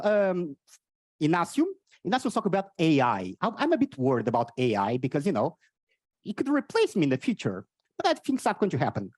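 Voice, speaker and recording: A Portuguese man with a Portuguese accent and an odd, cartoonish voice, doing energetic stand-up and teasing the audience on stage. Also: high-pitched, no room sound. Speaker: stand-up comedian. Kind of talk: live stand-up with crowd work. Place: on stage at a live event.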